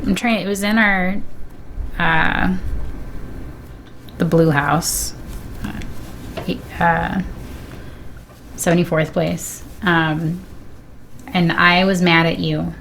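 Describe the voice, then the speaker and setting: A woman's voice: on the low side, fairly even intonation, takes it slowly, vocal fry, long pauses, fairly bright tone, a little hiss or hum, poor audio. A woman in her thirties chatting casually and informally as a guest on a stream. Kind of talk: casual sibling chat.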